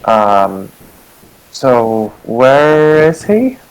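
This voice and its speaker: A man playing a haughty aristocrat in a tabletop game. He is scheming and condescending, and he presses for answers in a dry, mid-pitched voice. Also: big pitch swings, drawn-out words, smooth, exaggerated voice, low-quality recording. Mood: probing.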